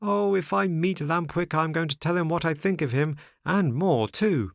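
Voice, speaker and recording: A man's voice: fairly high pitch, normal speed, creaky, no echo, studio quality, pitched above the performer's own voice. A man voicing an impulsive young boy marionette in a storybook, exclamatory and wheedlingly polite. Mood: remorseful, indignant. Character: boy marionette, impulsive, remorseful, sly and eager.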